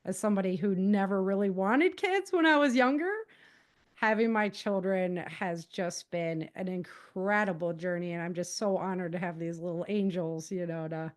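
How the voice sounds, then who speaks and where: A woman's voice: fairly low pitch, normal speed. A middle-aged American woman giving conversational interview answers in an earnest, emphatic and informal way. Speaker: podcast guest, author and self-defense teacher.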